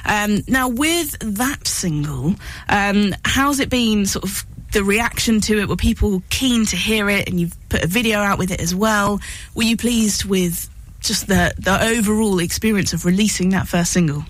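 A woman's voice: medium pitch, normal speed, poor audio. Woman radio host chatting with studio guests. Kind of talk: casual studio interview.

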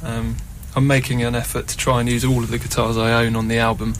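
A man speaking as a musician guest in a casual interview, explaining things in a thoughtful, measured way. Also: medium pitch, little pitch movement, slight background noise. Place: radio studio.

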